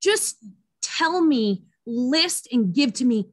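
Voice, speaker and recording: A woman's voice: sits high, expressive, takes it slowly. A woman hosting an interview, chatty and playful and gushing with praise for her guest. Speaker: interview host. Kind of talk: casual interview chat.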